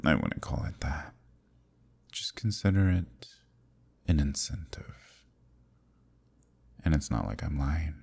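Man voicing a very deep, husky eldritch villain. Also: big pitch swings, darkish tone, exaggerated voice. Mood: wistful, teasing. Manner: slow, intimate, teasing. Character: ancient imprisoned male eldritch villain, seductive, scheming, lonely. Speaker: voice actor. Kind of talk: ASMR roleplay.

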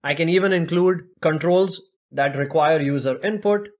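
A young man walks through a software demo step by step, his voice sitting a little higher than most men's. He explains each click at a steady, even pace, speaking into a desk microphone at his computer. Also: normal speed. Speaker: tech tutorial presenter. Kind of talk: screen-recorded software demo narration.

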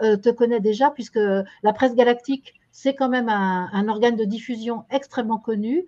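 A woman's voice: a little high, fairly quick, a little mellow. An older woman hosting a daily online show, welcoming and questioning her guest over a video call, with searching phrasing and fillers. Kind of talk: interview hosting. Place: home room. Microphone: video call webcam. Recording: dull lo-fi webcam audio.

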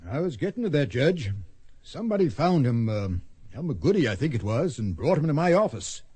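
High, husky Western marshal. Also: male voice, big pitch swings, fairly quick, warm tone, no room sound. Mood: matter-of-fact. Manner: folksy, rambling testimony. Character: folksy, matter-of-fact Western town marshal. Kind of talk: radio western drama acting.

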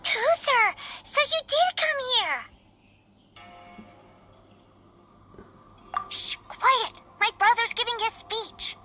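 Small boy for a game: very high, slow, sing-song, innocent and eager. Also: expressive, sharp tone, slight background noise, heavily acted. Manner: childlike, wide-eyed, eager. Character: innocent, excitable little boy, admiring younger brother. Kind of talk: video game voice acting.

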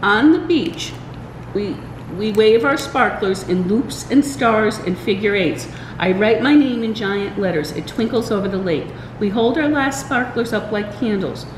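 An older woman reading a children's storybook aloud, expressive and deliberate, with small stumbles. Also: medium pitch. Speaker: library story time reader. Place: indoors in a large library room. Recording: roomy echo, faint background room noise.

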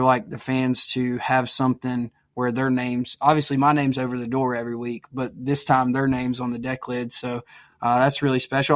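Young male podcast host, racing driver, mid pitch, casual chat, dry recording. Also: no echo. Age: young adult.

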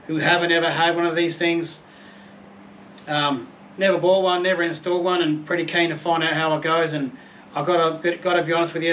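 A laid-back Australian man in his thirties talks through camping gear in an easygoing, self-deprecating way, with the odd mild swear word. His voice sits a little high and stays fairly flat in pitch. A faint outdoor hiss sits behind him, as he is recorded on a patio by a camera mic at some distance. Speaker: camping vlogger. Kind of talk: casual product review and unboxing. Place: outdoor patio, then a garage.

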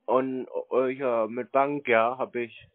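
A man's voice: mid-range voice, flat delivery, takes it slowly, no echo. A man chatting casually on the phone in a laconic, colloquial and naive-sounding way. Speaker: prank call recipient. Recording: dry, narrow phone-band sound.

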